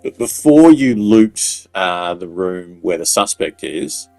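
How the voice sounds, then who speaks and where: A man with a low voice talks through a game walkthrough casually, pausing often as he goes. The recording is a little roomy, with faint music underneath. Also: lively intonation, creaky, darkish tone. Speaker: gaming video creator giving walkthrough tips. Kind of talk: casual unscripted game commentary.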